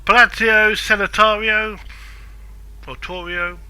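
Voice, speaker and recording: A man's voice: high-pitched, steady tone, takes it slowly, pushed and bright, clean tone, rough recording. Chatty man narrating gameplay. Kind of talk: casual game commentary.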